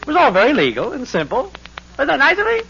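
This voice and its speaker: A smug, scheming next-door buddy in an old radio comedy. His high voice leaps wildly up and down as he talks glibly. The recording is noisy and dull. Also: wildly swooping pitch, quick pace, creaky, full character voice. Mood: smugly pleased. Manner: glib, cheerfully self-satisfied. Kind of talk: 1940s radio sitcom acting.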